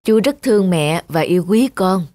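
An actor voicing a patient, loving father in a cartoon, gently coaxing as to a child. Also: mid-range voice, animated, moderate pace, no echo, natural voice. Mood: soothing. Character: patient, loving father soothing his young daughter. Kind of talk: cartoon voice acting. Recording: music clearly behind the voice.

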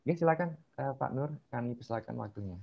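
A man's voice: mid-range voice, expressive, fast, creaky. A man politely moderating an online lecture in an informal way, speaking through a headset on a video call. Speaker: moderator of a webinar. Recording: narrow, dull video-call audio.

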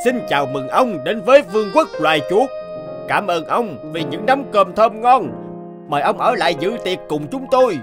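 A man voicing a jovial, gracious mouse king in an animated folktale, with a courtly, hearty manner. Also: high-pitched, normal speed. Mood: hearty welcome. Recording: music behind the voice.

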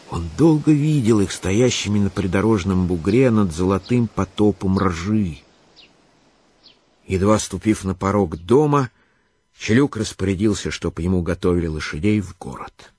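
A man's voice: low voice, a little mellow, slightly rough, no echo. A man narrating a radio play in a literary, scripted storytelling style.

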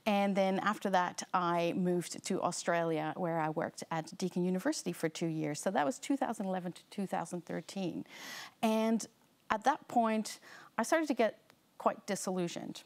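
A woman in her forties, from the Netherlands, speaks to a seated audience on a studio stage in a slightly low voice. She talks in a loose, conversational way, full of ums and restarts, through what sounds like a lapel mic. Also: moderate pace. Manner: conversational, with frequent fillers and restarts. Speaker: guest speaker. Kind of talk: seminar talk to a live audience.

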